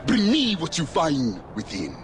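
An actor playing a zealous, middle-aged preacher haunted by sin in a video game, with a grandiose, sermonizing delivery. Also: male voice, a little high, wildly swooping pitch, a little slow. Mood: fervent. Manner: fervent, grandiose, quoting scripture like a sermon. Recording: game audio with clear outdoor ambience noise.

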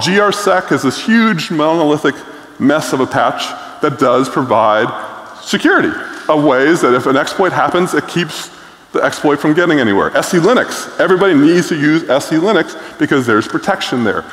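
A man's voice: fairly high pitch, fairly bright tone. A middle-aged man giving a conference keynote on stage, blunt and enthusiastic, with frequent filler words. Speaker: keynote speaker. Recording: echoey hall reverb.

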